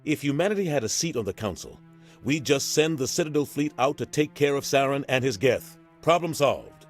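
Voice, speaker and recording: An actor playing a seasoned military captain in a video game, gruff and measured as he explains things like a mentor. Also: medium pitch, lively intonation, lightly acted. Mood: frustrated, firm. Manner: measured, explanatory, matter-of-fact. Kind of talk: video game dialogue acting. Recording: game music underneath.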